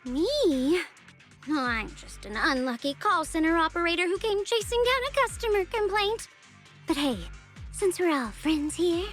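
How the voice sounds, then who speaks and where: A woman's voice: extremely high pitch, full character voice. A woman playing a smug, sarcastic young customer-service agent in an anime-style video game, mock-polite and theatrical. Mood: breezy, sly.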